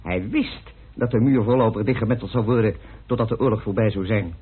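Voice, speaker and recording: A man's voice: fairly low pitch, a little fast, slightly rough. An actor playing an argumentative male amateur detective in a radio play, reasoning emphatically with rhetorical questions. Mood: argumentative, emphatic. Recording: muffled old radio recording.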